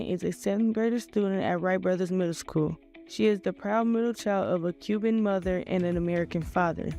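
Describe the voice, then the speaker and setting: A teenage girl with a mellow, soft-toned voice reads a scripted introduction and works through prepared interview questions in a steady way. Faint music drifts in behind her. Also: mid-range voice. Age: late teens. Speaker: student interviewer.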